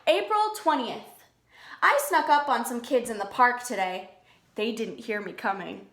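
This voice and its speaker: A high-pitched woman reads a storybook to small children at a slow pace. She speaks like a preschool teacher, explaining things simply and prompting with questions. The room around her sounds echoey. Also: lively intonation, a bit bright. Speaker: preschool teacher reading a picture book aloud. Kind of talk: storybook read-aloud with questions to young children.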